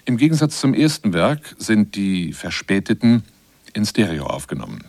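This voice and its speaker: A man reading scripted documentary narration in a measured, formal way. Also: fairly low pitch, very animated, a little mellow, no room sound. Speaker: narrator of a radio feature.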